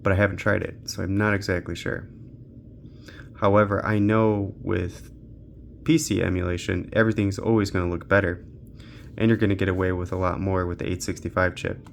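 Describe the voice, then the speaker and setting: A man with a somewhat deep voice full of vocal fry gives a casual, unscripted gaming walkthrough. He thinks aloud informally as he goes. There is noticeable noise behind his voice. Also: little pitch movement, fairly quick. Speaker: tech reviewer hosting a handheld gaming channel. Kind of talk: unscripted casual walkthrough commentary while testing games.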